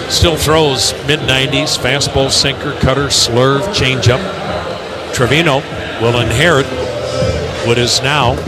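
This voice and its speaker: A man calling live baseball play-by-play on the radio in measured, clipped phrases. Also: mid-range voice. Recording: loud ballpark noise behind.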